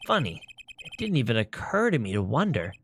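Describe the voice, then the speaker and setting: A man reading the lines of an earnest young male defense attorney during a game playthrough, thoughtfully and deliberately. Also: mid-range voice, wildly swooping pitch, a bit bright, a little hiss or hum. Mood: probing.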